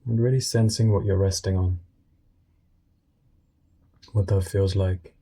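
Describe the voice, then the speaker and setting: A man guiding a meditation in a gentle, unscripted way, speaking informally and soothingly in short phrases with pauses. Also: sits low, fairly even intonation, husky. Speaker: meditation guide.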